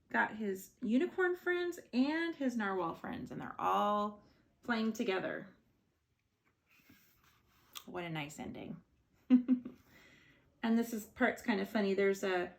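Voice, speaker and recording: Woman, children's storybook read-aloud, expressive storyteller, slow pace, creaky edges to the voice. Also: medium pitch. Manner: expressive storytelling with short asides. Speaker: storybook reader.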